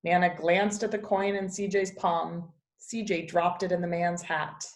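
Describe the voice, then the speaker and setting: A woman reading a children's picture book aloud as a teacher, with expressive storybook narration. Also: lowish voice, measured pace. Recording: echoey, roomy sound.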